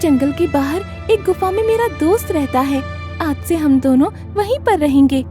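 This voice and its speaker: Woman as a loyal, high-voiced brave vixen. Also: animated, moderate pace, music in the background. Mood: devoted. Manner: earnest, protective. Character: loyal, brave, kind-hearted female fox friend.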